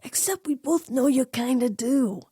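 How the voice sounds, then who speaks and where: A woman's voice: high voice, lively intonation, a little slow, warm tone, breathy edge, dry recording, clean studio sound, voice pushed up, exaggerated voice. A woman voicing a cheeky, wheedling male poltergeist in an audio story, with a sly, slangy drawl. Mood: sly, bargaining. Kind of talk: fan fiction audio story character voice.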